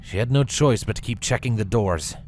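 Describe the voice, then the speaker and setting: A man's voice: lowish voice, fairly quick, soft background music. A man narrating a scripted story as a reader. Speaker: fan fiction reader.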